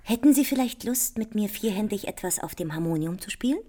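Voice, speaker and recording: An actress playing a gracious, intense, seductive hostess in a satirical radio play. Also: female voice, mid-range voice, lively intonation, a little fast, mellow, natural voice. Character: alluring, intense hostess, gracious and fatefully passionate.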